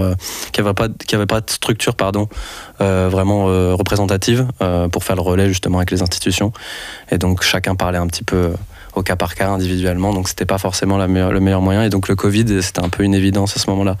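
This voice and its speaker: A man's voice: low-pitched, little pitch movement, fast talker, dry recording. A young man from France, a festival organizer speaking as a radio interview guest, hesitant with many restarts. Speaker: festival organizer and network coordinator.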